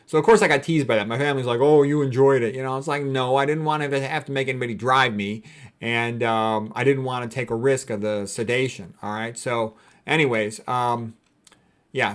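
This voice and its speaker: A man's voice: mid-range voice, fairly bright tone. Man, doctor lecturing informally, blunt, opinionated, colloquial wording, conversational check-ins. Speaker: doctor giving a health talk. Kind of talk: informal explanatory lecture with commentary.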